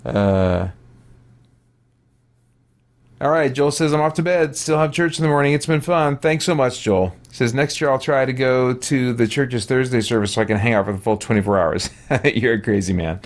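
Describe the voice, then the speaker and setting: A man's voice: mid-range voice, fairly quick, hardly any pauses. A man hosting a livestream, chatting casually as he plays in a hesitant, musing, wry way. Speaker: game streamer, host. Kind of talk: casual livestream gameplay chat.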